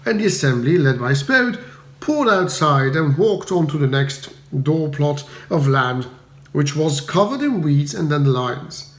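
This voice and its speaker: A man's voice: on the high side, animated, a little slow, reverberant. A man reading a comic story aloud as a scripted, dramatic audiobook narration. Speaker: audiobook reader.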